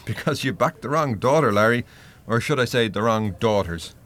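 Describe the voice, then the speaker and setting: An actor playing a wry, unsparing spirit guide in a radio play, with a measured, teasing, blunt manner. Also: male voice, medium pitch, expressive, fairly bright tone, lightly acted. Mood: blunt, firm.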